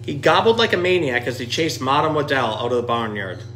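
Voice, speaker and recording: A man reading a children's picture book aloud in an animated, expressive storytelling style. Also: mid-range voice, a little fast, slight background noise. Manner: expressive, dramatic dialogue readings.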